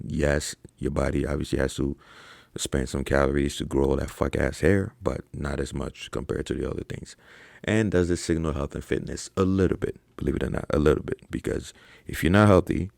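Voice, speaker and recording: A man's voice: extremely low pitch, creaky, a little mellow, no room sound. A young man talking casually to camera as a fitness coach, profane and slangy, with lots of fillers and "right?" tags. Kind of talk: casual ranking commentary to camera.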